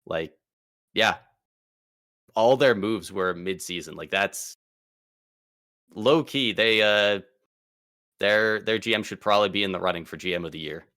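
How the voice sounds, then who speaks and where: Bright, excitable man talking hockey. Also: fairly low pitch, a little slow, pauses often, no room sound, studio quality. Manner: excitable, slangy, emphatic. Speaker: podcast co-host. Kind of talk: casual sports podcast chat.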